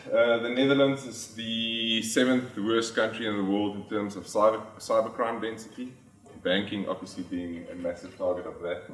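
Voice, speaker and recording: A middle-aged South African man, a native Afrikaans speaker, giving a conference presentation with frequent ums and restarts. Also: lowish voice. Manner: frequent ums and restarts, plain explanatory delivery. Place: large conference hall. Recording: echoey hall, from far off the mic.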